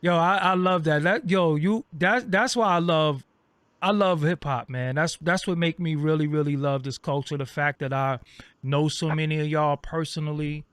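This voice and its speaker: Man, host, higher-pitched, casual street talk, dry close sound. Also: normal speed, fairly bright tone, clean tone, no room sound. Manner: loose, filler-heavy street slang. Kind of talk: casual interview chat.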